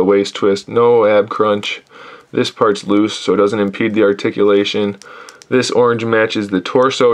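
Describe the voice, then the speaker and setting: A man reviewing an action figure in a casual, critical way, sounding tired and a little hoarse from losing his voice. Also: lowish voice. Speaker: toy reviewer. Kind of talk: casual product review, off-camera voice.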